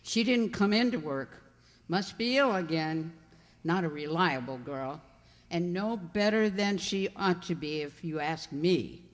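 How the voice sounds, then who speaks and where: A woman's voice: on the low side, a little slow, close to an everyday voice. A woman playing a gossipy, callous servant at an inn in a radio mystery play, matter-of-fact and disapproving. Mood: grumbling. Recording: reverberant hall-like sound.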